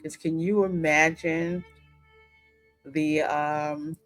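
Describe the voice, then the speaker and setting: A woman with a slightly low voice takes her time as she gives emphatic game commentary, theorizing aloud. Faint music runs underneath her. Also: fairly even intonation, very slow, no echo. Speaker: gaming host. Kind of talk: game reaction and story commentary.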